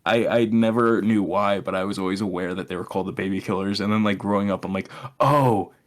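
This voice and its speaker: A man's voice: lowish voice, fairly even intonation, fairly quick. A young man chatting casually as a podcast co-host, explaining his opinions in a sardonic way and swearing freely.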